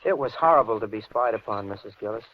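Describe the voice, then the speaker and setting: Eerily calm, menacing handyman, vintage radio. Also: fairly low pitch. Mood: brooding threat. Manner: slow, deliberate, softly threatening. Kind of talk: old-time radio thriller acting. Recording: muffled, hissy vintage radio recording.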